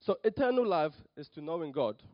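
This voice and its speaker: Young man, from Poland, preaching, halting delivery, many fillers, muffled. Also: fairly high pitch, big pitch swings, dry recording. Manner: hesitant, many ums and restarts. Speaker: lay preacher. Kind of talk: nervous church talk.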